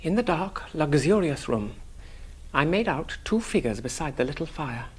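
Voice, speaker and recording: Animated, wry young English gentleman. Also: fairly high pitch, moderate pace, slight background noise, poor audio. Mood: observant. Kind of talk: 1970s radio drama acting and narration.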